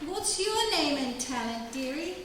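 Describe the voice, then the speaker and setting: A woman's voice: high voice, sing-song, unhurried, very noisy. A woman playing a genteel talent show hostess in a live radio-style comedy, from far off in a very echoey hall. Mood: polite. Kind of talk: live old-time radio comedy acting. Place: live stage show in a library.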